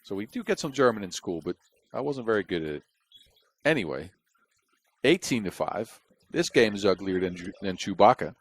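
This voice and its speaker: A man's voice: fairly low pitch, lively intonation, moderate pace. Dutch man calling basketball. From Netherlands. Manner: chatty and wry, with jokes between plays. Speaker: basketball commentator. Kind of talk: play-by-play commentary.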